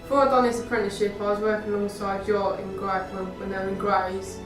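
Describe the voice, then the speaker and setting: A woman's voice: mid-range voice, flat delivery, fast talker. A nineteen-year-old woman, a youth work apprentice, answering interview questions casually with a lot of filler words. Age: young adult. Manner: casual, lots of "like". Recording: roomy, mic far off, faint music.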